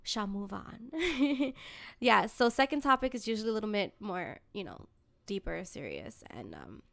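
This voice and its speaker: A woman's voice: medium pitch, big pitch swings, normal speed, gravelly, a bit bright. A woman hosting a podcast in casual chat, informal and giggly, swearing freely. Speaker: podcast host.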